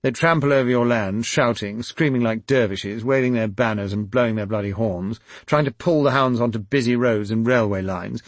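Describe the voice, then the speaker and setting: A man's voice: mid-range voice, moderate pace, few pauses, dry recording, pitched above the performer's own voice, close to an everyday voice. A man voicing an aggrieved, blustering older aristocrat who speaks. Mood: indignant. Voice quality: indignant, blustering.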